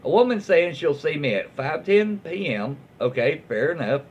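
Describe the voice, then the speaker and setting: A man hosting and reading internet stories aloud in a folksy way, with dramatic pauses, emphasis and his own asides. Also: fairly high pitch, lively intonation, highs cut off. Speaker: online story reader and host.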